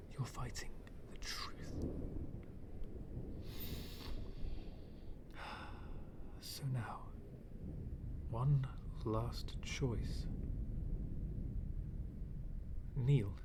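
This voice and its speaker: A man playing a bitter, menacing villain in an ASMR roleplay, speaking in a brooding, dramatic, half-whispered way with long pauses. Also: medium pitch. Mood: commanding, menacing. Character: fallen-world villain, male, bitter, menacing, coldly philosophical.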